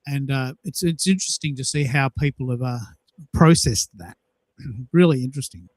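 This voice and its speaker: A man's voice: medium pitch, normal speed, darkish tone, no room sound. A man with an Australian accent hosting a show in casual chat, enthusiastic and emphatic as he talks. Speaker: show host.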